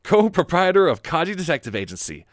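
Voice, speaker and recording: A man plays a sharp-tempered young woman who runs a detective agency in a comic. The voice is lifted well above a man's normal talk, with an edge to it. It is lively and bossy. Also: fairly quick, no room sound, clean studio sound. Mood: businesslike. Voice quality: raised, edgy high voice.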